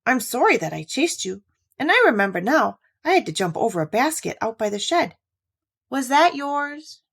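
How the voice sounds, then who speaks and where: A woman reader acts out an excitable storybook dog. He is an eager, blunt boy of a pet who puts emphasis into what he says. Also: fairly high pitch, normal speed, gravelly, slightly rough, voice pushed up, exaggerated voice. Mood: apologetic.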